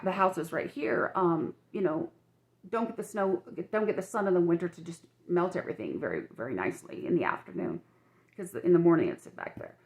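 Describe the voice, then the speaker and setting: Croaky woman rambling in a home vlog. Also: fairly low pitch. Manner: chatty, frank, many restarts and cussing. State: croaky from dry mouth. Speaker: vlogger. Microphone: phone.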